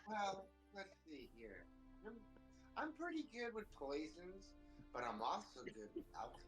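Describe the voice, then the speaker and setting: Sly rogue alchemist, folksy, bouncy singsong pitch, voiced by a player. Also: wildly swooping pitch, measured pace, fairly bright tone, faint music behind, poor audio. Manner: folksy, calls others dear and dearie.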